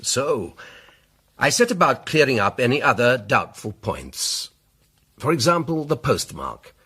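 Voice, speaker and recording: Self-assured gentleman barrister, English accent, lowish husky voice, theatrical oratory, radio drama. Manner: pompous, rhetorical, deliberate emphasis.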